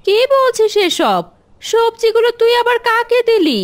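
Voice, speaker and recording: A woman's voice: very high voice, very animated, measured pace, exaggerated voice. A woman voicing a poor, exasperated village mother in a fairy-tale cartoon, nagging and scolding. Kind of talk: animated fairy-tale dialogue.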